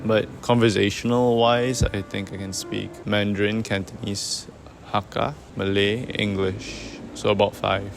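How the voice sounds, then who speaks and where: Brief: low gravelly man, slow and hesitant, music behind. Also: level tone. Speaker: street interviewee. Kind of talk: casual street interview answers.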